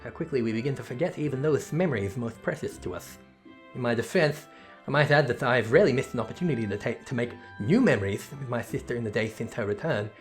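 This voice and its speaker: A man's voice: medium pitch, expressive, close to an everyday voice. Game scholar, female, male reader: reserved, courteous, formal and precise, music bed. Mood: measured, wistful. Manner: formal, precise, measured wording.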